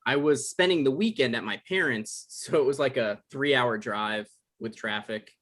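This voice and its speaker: A man's voice: medium pitch, fairly bright tone, natural voice. A man playing an awkward, apologetic young man who turns dryly sarcastic when cornered, in a comedy table read. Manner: hesitant, halting, dry.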